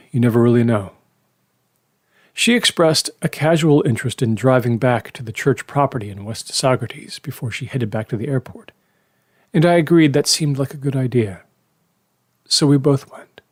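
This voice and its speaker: An actor playing a reflective lawyer, narrating a horror audio drama in the first person with a measured, wistful, understated delivery. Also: male voice, mid-range voice, dry recording, studio quality, natural voice. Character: reflective male lawyer recalling an old murder trial.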